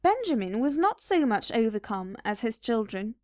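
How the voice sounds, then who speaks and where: High, animated woman storyteller. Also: moderate pace, no room sound, studio quality. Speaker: storybook narrator. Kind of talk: children's read-aloud scripted narration.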